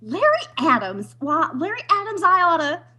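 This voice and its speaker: A woman's voice: very high-pitched, sing-song, moderate pace, pushed and bright, full character voice. A woman playing a nervous, easily spooked young wife in an old-time radio comedy, anxious and wryly pleading. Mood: indignant.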